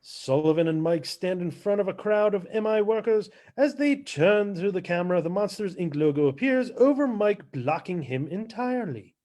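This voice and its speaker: A man narrating stage directions in a scripted table read, matter-of-fact and a little halting. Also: high-pitched, expressive, a little mellow.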